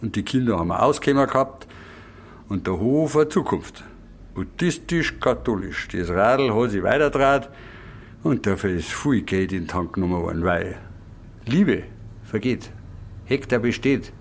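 A man's voice: on the low side, animated, normal speed. An actor playing an opinionated, matter-of-fact village man explaining old customs in a radio drama, with a Bavarian accent.

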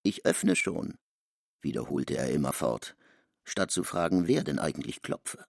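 A man's voice: very deep voice, sing-song, measured pace, gravelly, husky, dry recording. A man reading scripted literary narration for a radio drama in a studio. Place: dry studio.

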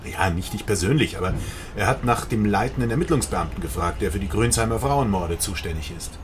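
A chatty policeman, played by a male actor in a radio drama, knowing and wry as he explains. His fairly low voice moves up and down freely over slight background ambience. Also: animated, fairly quick, noisy background, natural voice. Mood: informative.

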